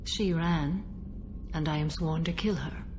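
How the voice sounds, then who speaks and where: An actress playing a stoic, centuries-old alien warrior woman bound by a code in a video game, speaking in a measured, formal, solemn manner. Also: low-pitched, steady tone, a little mellow, slight background noise. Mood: solemn, stern. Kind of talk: video game dialogue acting.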